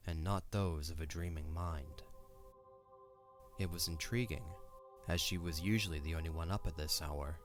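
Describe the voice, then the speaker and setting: A man with a deep voice reads scripted story narration for an audio drama. Soft music sits faintly behind his storytelling.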